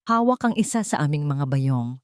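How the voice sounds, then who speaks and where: Female audiobook narrator, low register, close dry sound, dramatic first-person storytelling. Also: sing-song, moderate pace, darkish tone, clear, resonant tone, no echo.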